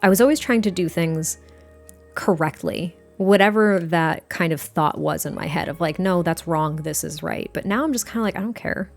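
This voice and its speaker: A woman in her thirties with a hoarse, gritty, raspy voice, chatting casually and self-deprecatingly in a gaming voiceover. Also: on the low side. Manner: rambling, self-deprecating, laughs often. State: hoarse from a weekend of cheering and singing. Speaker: Sims YouTuber.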